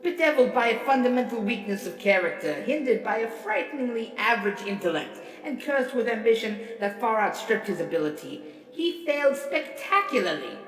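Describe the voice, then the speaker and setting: A woman acts out a vain robot leader delivering a grand courtroom speech. The voice is high and sweeps up and down with pompous theatrical flourish, set in an echoey hall. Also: expressive, fairly quick, few pauses, natural voice. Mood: condescending. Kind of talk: dramatic reading of a courtroom speech. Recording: echoey hall reverb, distant, music behind.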